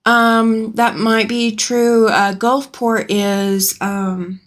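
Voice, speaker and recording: A woman's voice: on the high side, little pitch movement, extremely slow. A woman from Alabama, chatting casually on a live stream from her home sewing room as she rambles and answers viewers' comments aloud.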